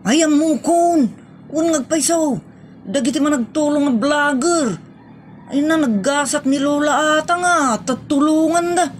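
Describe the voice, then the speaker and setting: A woman plays a nosy village gossip with an Ilocano accent in a comedy audio drama. Her voice is very high, over clearly noisy background ambience. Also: a little slow. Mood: curious, nosy.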